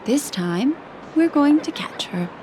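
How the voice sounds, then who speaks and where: A woman playing a poised, knowing and mysterious lady in a video game, speaking in a composed, coy and measured way. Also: sits high, expressive, darkish tone, slight background noise. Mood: calm, assured.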